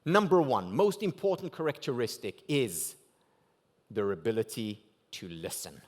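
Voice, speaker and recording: A man's voice: mid-range voice, lively intonation, unhurried. A man with an Israeli accent, a native Hebrew speaker, giving a motivational keynote talk at a gala dinner, asking rhetorical questions and punching key words. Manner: rhetorical questions with strong rises and drops for emphasis. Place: banquet hall at a gala dinner.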